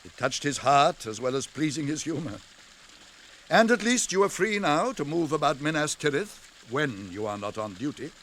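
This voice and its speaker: An actor playing a wise, stern old wizard in British fantasy radio drama, with measured, weighty, archaic speech. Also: male voice, fairly high pitch, animated, fairly bright tone, slight background noise. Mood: kindly, cautioning.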